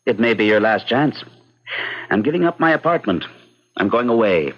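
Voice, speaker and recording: Low male voice, lively swings, brusque reclusive scholar, crisp theatrical radio drama delivery, dull lo-fi recording. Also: moderate pace. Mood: intense, determined. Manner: crisp, self-assured, formal and theatrical. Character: reclusive, driven male mathematician, brusque and set in his ways. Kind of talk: old-time radio drama acting and narration.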